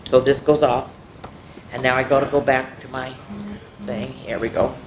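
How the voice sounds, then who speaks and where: A woman storyteller reading a picture book aloud to children, with lively, chatty asides to the kids. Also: extremely low pitch, normal speed, a little hiss or hum. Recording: roomy echo.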